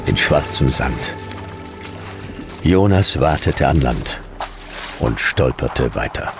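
A man's voice: rumbling low, lively intonation, takes it slowly, music in the background, exaggerated voice. A man playing a hard-boiled, world-weary detective in a radio play, speaking in terse, clipped sentences. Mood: grim, weary. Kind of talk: radio play narration, noir.

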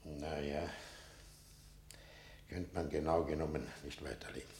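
A man playing a mild, grave prison warder in a radio play, simple-seeming yet knowing, deliberate and plain-spoken. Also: level tone, darkish tone. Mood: grave, guarded. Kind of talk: German radio play acting. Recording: echoey room, rumbling noise.

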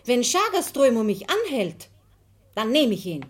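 A woman's voice: fairly high pitch, sing-song, a little slow. A woman playing a spirited, headstrong young woman in her twenties in a radio drama, emphatic and direct. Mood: defiant.

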